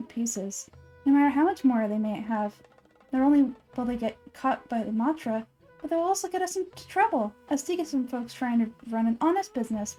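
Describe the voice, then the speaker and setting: Woman playing a high-voiced, sly little merchant. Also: dark tone. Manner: sly, boastful, chatty sales patter.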